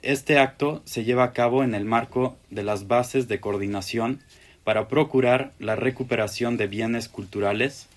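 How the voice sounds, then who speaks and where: A man's voice: mid-range voice, fairly even intonation, normal speed. Man reading a formal official statement. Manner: ceremonial, formal.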